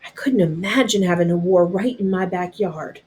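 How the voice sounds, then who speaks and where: A man's voice: sits high, moderate pace, slight background noise, close to an everyday voice. A woman reading a storybook as a wounded, bewildered teenage soldier boy who talks hesitantly and plainly. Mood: weak, wondering. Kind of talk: storybook read-aloud character.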